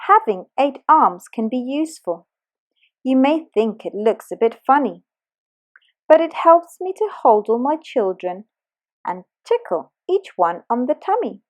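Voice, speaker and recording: A woman reading aloud, speaking as a motherly octopus in a fond, gentle way. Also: high voice, sing-song, a little slow. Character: motherly octopus with many children.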